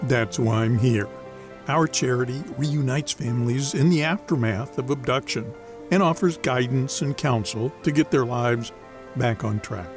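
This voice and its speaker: An actor playing a composed, courteous philanthropist hero in an audio drama, speaking formally. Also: male voice, medium pitch, a little slow, music in the background, close to an everyday voice. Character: stern male vigilante and courteous philanthropist.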